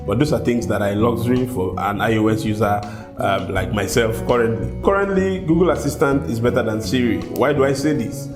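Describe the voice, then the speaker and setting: A man's voice: mid-range voice, brisk. Nigerian guy vlogging about phones, chatty, roomy echo, faint guitar music underneath. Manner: conversational, repeats words while thinking, addresses viewers as guys. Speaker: tech vlogger. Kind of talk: casual talk to camera. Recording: echoey room with soft guitar music behind.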